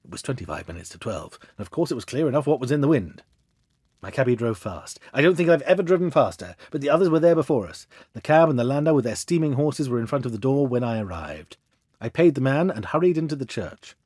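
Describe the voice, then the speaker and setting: A man giving an expressive, theatrical reading of a classic detective story as an audiobook. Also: medium pitch, quick pace, dry recording, studio quality. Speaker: audiobook reader. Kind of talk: dramatic storybook narration of a classic detective tale.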